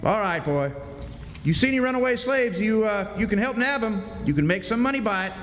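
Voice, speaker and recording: Man playing high-pitched, bossy slave hunter. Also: expressive, a little fast, fairly bright tone. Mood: commanding, suspicious. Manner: hailing, bossy. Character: gruffly commanding armed slave hunter on river. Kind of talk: stage radio drama acting. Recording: echoey hall, noisy, off mic.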